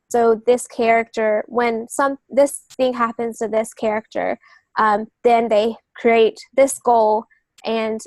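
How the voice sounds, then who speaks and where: Higher-voiced woman explaining as podcast guest. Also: creaky, no room sound. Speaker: guest novelist and literary agent. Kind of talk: explanatory podcast interview talk.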